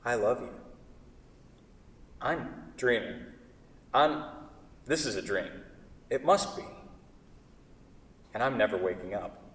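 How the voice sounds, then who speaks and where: A man acting a flustered, awkward seller in a staged play reading, halting and stammering through his sentences. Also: mid-range voice, expressive, fairly bright tone. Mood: dazed, awkward. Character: flustered, awkward man selling a beloved table. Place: theatre stage. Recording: echoey theatre hall, distant mic.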